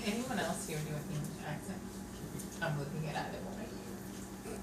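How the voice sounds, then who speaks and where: Lively woman in an echoey, noisy room. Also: sits low, a little fast, distant mic. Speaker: host and director of an audio drama troupe. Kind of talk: casual directing and show sign-off.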